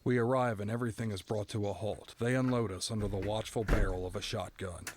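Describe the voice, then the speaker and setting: An actor playing a bitter, doomed prisoner who narrates a first-person audio drama with brooding, dramatic phrasing. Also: male voice, fairly low pitch, steady tone, fast, darkish tone, slight background noise, lightly acted. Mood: bitter, resigned.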